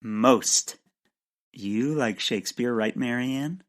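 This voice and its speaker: An actor playing a clumsy, earnest, eccentric bird expert in a comic audio drama, halting and flustered. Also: male voice, mid-range voice, a bit bright. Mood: awkward, earnest.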